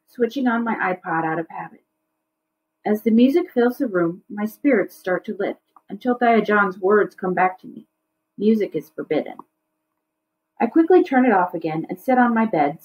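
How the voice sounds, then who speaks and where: A woman's voice: medium pitch, muffled recording. A woman reading a children's novel aloud as a teacher would, in storybook narration.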